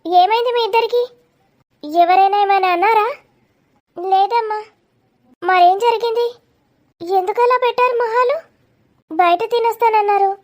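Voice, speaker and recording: A woman voicing young women and a worried mother in an animated village drama. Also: very high voice, normal speed, long pauses. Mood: worried, scolding. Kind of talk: animated story dialogue in colloquial Telugu.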